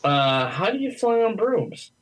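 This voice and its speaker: A man's voice: high-pitched, very animated, a little slow, slight background noise. A man playing a skeptical, sarcastic coworker in an amateur audio play, with dry, hesitant interjections. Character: skeptical, sarcastic male coworker, reluctant to join in.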